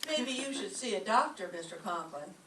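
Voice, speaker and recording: A woman playing a wry, sardonic high school teacher in an old-time radio comedy, with wisecracking delivery. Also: big pitch swings, moderate pace, fairly bright tone. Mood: brisk. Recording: echoey stage, distant mic, faint noise.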